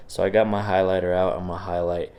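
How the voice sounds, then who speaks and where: Deep-voiced, congested man teaching. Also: little pitch movement, quick pace, a little mellow. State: sick, congested and sniffly. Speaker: physics teacher. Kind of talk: informal video lecture walking through practice problems.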